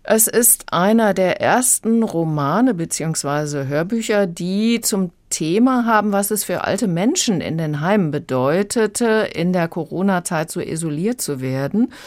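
Low-voiced woman reviewing audiobooks. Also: animated, measured pace, hardly any pauses, darkish tone, no room sound, clean studio sound. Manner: explanatory, conversational. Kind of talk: radio review conversation.

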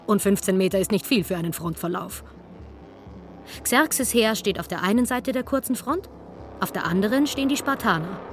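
A woman's voice: mid-range voice, moderate pace, music in the background, close to an everyday voice. Woman as a wry, conversational archaeologist narrator. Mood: matter-of-fact. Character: wry female archaeologist storyteller. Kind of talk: scripted narration in a radio play.

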